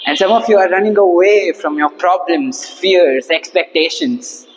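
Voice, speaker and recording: A young man giving a humorous prepared speech to an audience, with a theatrical, rhetorical delivery and deliberate pauses. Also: sits high. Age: young adult. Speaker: club speaker at Toastmasters. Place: indoor hall, speaking to a seated audience. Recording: reverberant hall.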